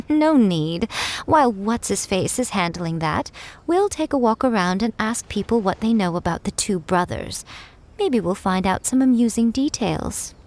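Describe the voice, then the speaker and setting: Sly, teasing shrine priestess. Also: female voice, mid-range voice, expressive, measured pace, darkish tone, a little hiss or hum. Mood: nonchalant, sly. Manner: teasing, nonchalant, smoothly condescending. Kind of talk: video game voice acting.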